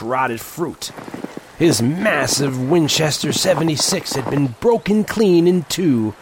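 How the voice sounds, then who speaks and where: A man's voice: medium pitch, background noise. An actor playing a haunted Old West man, solemnly recounting a horror tale in an audio drama. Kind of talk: dramatic first-person audio drama narration. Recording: dramatic music under the voice.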